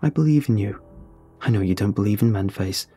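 A man voicing a calm, cryptic young scout. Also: on the low side, husky, dry recording, faint music behind. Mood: sincere. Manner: calm, earnest, unhurried.

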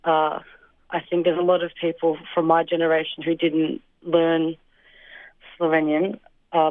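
A young woman from Australia answering interview questions in a thoughtful, hesitant way, with fillers and soft laughs. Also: low voice, no room sound. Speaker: interview guest. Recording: dry, phone-like line.

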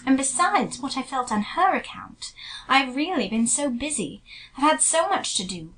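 Woman playing a gentle, lilting young gentlewoman. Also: high-pitched, sing-song, moderate pace, slight background noise, poor audio. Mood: patient, reasoning. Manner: polite, reasoning. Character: gentle, patient, sensible young gentlewoman, soothing sister. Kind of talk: dramatised classic novel reading.

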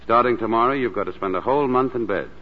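An actor playing a calm, charming but firm doctor in a 1940s radio drama, measured and gently paternal. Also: male voice, medium pitch, close to an everyday voice. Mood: reassuring, authoritative. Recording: dull, noisy vintage 1940s radio broadcast.

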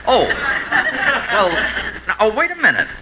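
A man's voice: high voice, huge pitch swings, a little slow, heavily acted. A man playing an inquisitive, puzzled tax investigator in a 1950s radio comedy. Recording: noisy vintage 1950s radio recording.

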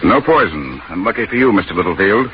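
A stern inquest official in a 1940s radio play. His low male voice is measured and quietly pointed, heard through a dull, noisy old recording. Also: sing-song. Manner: formal, measured, insinuating.

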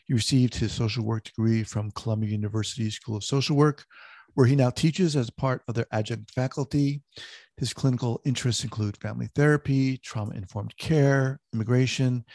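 A man's voice: mid-range voice, moderate pace, no room sound. Upbeat male podcast host. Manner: upbeat, energetic introductions. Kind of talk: interview podcast with read ad.

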